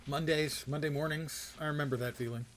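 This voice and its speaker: A man's voice: on the high side, steady tone, a little fast, a bit bright, slight background noise. A man live-streaming a video game, commenting casually and reading quests aloud with wry, self-deprecating, wordy humour.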